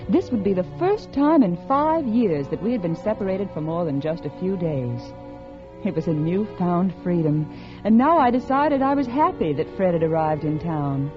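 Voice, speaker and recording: An actress playing a restless married woman confessing a secret affair in polished, intimate 1940s radio drama style. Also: on the low side. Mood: relieved, exhilarated. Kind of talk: 1940s radio drama acting, first-person confessional narration. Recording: dull old radio recording with music.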